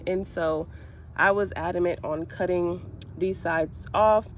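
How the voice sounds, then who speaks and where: A woman's voice: fairly low pitch, steady tone, unhurried, slight background noise. Stuffy-voiced woman chatting about her garden. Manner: chatty, friendly, with small laughs and fillers. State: stuffy from a cold. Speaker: gardening vlogger. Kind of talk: casual how-to vlog.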